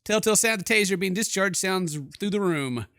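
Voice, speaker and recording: A man doing excitable, jokey let's play game commentary, exclaiming and mimicking the characters. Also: high voice, fairly quick, dry recording, studio quality. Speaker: gaming YouTuber, let's play host.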